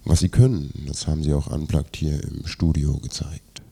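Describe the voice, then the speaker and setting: A man with a gravelly, husky voice presenting a scripted radio magazine piece. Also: a bass voice, steady tone, normal speed, warm tone.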